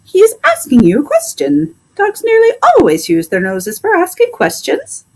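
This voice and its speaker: Woman putting on a high, bossy storybook parrot. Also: huge pitch swings, moderate pace, a little mellow, heavily acted. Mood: impatient instructing. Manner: emphatic, instructive. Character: bossy, knowing female parrot teacher.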